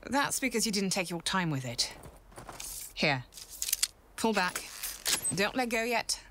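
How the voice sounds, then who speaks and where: A woman playing a confident, teasing warrior and mentor in an audio drama, calm and assured. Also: medium pitch, a little slow, background noise. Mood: patient, coaching. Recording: music behind the voice.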